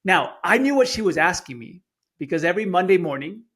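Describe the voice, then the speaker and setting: A man's voice: high voice, very animated, a little fast, a bit bright. A middle-aged man from Chile whose first language is Spanish, telling a personal story earnestly as a podcast guest, often repeating words as he starts phrases. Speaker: guest speaker. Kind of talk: storytelling in an interview.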